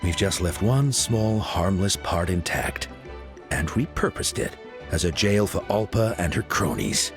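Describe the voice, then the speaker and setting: An actor playing an earnest, conciliatory coach and resistance organizer in a video game, speaking courteously. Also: male voice, low voice, animated, a little slow, music in the background. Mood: regretful.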